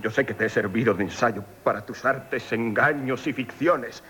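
An actor with a Castilian Spanish accent playing a proud, hot-tempered gentleman who scorns women, declaiming indignantly in a radio comedy. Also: mid-range voice, moderate pace, close to an everyday voice. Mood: bitter. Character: proud, hot-tempered woman-hating gentleman, tormented by love. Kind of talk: theatrical 1970s radio comedy acting.

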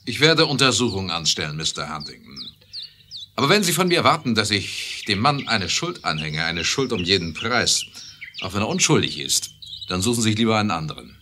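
An actor playing a stern, incorruptible judge and investigator in a 1970s German audio drama, formal, measured and firm. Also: male voice, on the low side, very animated. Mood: firm, principled. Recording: slightly noisy 1970s record.

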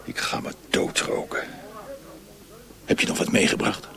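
An actor playing a weary, haunted former asylum doctor who craves opium, muttering bleakly in a radio drama. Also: male voice, a little slow, a bit bright, lightly acted. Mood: gloomy, shaken.

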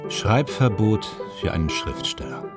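A man's voice: on the low side, expressive, a little slow, loud music behind the voice. A man acting in a radio drama as a vain, wistful stage and film actor from 1930s Germany, musing theatrically in clipped fragments. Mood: melancholy reminiscing. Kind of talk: radio drama monologue and dialogue.

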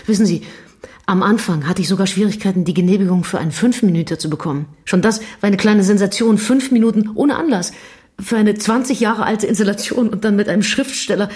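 An actress playing an earnest middle-aged documentary filmmaker, slightly hesitant but persistent, in a naturalistic radio drama. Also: female voice, mid-range voice, a little fast, darkish tone, close to an everyday voice.

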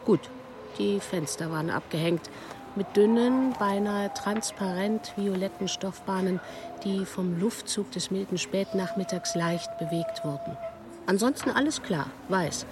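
A woman's voice: mid-range voice, noisy background, close to an everyday voice. A woman performing a precise, matter-of-fact first-person literary narration in a radio play. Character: woman recounting her visit to a fortune teller, matter-of-fact.

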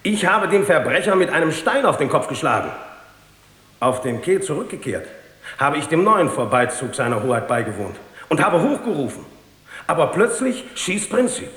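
An actor playing a proud, eager, dutiful witness who gives formal, emphatic testimony in a radio drama. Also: male voice, a little high, sing-song, moderate pace, a bit bright. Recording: echoey hall with long reverb.

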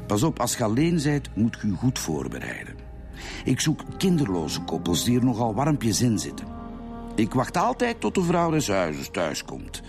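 An actor playing a cold, menacing Flemish armed robber in a radio drama, matter-of-fact about violence. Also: male voice, medium pitch, huge pitch swings, normal speed, vocal fry. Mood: matter-of-fact confession. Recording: music behind the voice.

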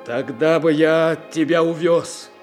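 An actor playing an ardent, bold young prince in love, in a romantic, declamatory radio play style. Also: male voice, on the high side, takes it slowly. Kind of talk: theatrical radio play acting. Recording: music playing behind the voice.

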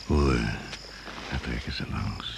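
An actor playing a grave, measured male narrator of a radio jungle thriller. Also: a bass voice, gravelly, background noise, full character voice. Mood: hushed, atmospheric. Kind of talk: scripted radio drama narration.

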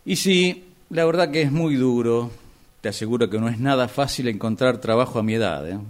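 A man's voice: medium pitch, fairly quick, close to an everyday voice. An Argentine man acting in a radio drama as a humbled former boss, hesitant and pleading for work. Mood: defensive, weary. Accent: Argentine, Rioplatense. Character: humbled older former boss begging for a job.

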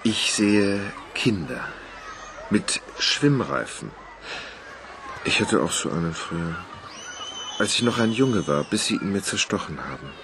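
An actor playing a shy, childlike young man in love, speaking softly and dreamily in a radio drama. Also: sits low, big pitch swings, measured pace, pauses often, background noise, heavily acted. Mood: dreamy.

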